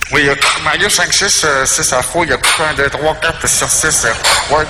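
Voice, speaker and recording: Man playing a halting, muddled airport clerk. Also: on the high side, noisy background, voice pushed down, natural voice. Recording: echoey hall with music behind.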